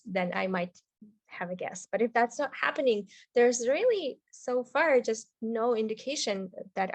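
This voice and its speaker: A woman's voice: mid-range voice, dry recording. A woman leading a writing webinar over a video call, chatty and checking in with "right?" often. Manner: conversational, frequent "right?" checks and fillers. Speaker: webinar instructor. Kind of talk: teaching a live webinar.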